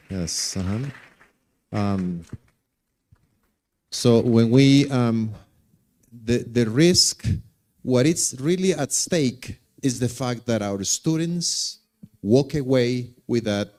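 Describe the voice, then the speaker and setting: A man's voice: medium pitch, expressive, slow pace, darkish tone. A man with a Colombian accent, a native Spanish speaker, replying to audience comments at a conference and repeating key words for emphasis. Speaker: conference presenter.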